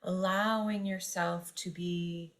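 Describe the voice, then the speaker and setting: A woman leads a guided meditation in a fairly low voice. She speaks very slowly and gently, leaving long pauses between short phrases. Her intonation stays fairly even throughout. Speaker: yoga nidra meditation guide.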